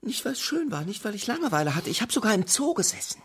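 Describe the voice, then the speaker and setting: A man's voice: high voice, sing-song, fast, husky, full character voice. A man playing a tiny, odd, secretive and fussy male creature in a children's radio play, with quirky clipped speech. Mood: plaintive.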